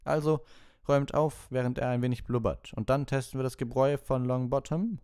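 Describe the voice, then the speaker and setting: A man puts on a strict, spiteful schoolmaster for an audiobook. The voice is lifted well above a natural low register and pushed louder, giving deliberate, commanding orders with a cold sneer. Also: smooth, natural voice. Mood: stern, sneering. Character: stern, spiteful male potions teacher, coldly sneering.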